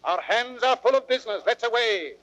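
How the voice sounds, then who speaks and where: A man's voice: very high voice, fairly quick, a bit bright. An actor playing a stern, resolute older king, regal and declamatory in old radio Shakespeare style. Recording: muffled old recording, slight noise and clicks.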